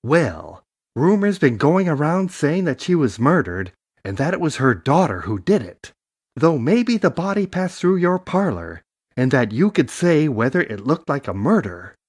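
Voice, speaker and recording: A man's voice: a little high, sing-song, unhurried, husky, dry recording, clean studio sound, heavily acted. A man playing a nosy, familiar, gossipy tradesman in an audio drama.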